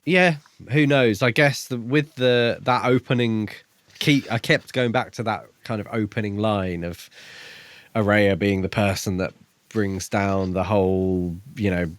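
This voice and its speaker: A man co-hosting a podcast, chatting casually about books in a tentative, halting way as he thinks aloud. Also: on the low side, fairly even intonation, dry recording. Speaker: podcast co-host. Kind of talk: casual book discussion chat.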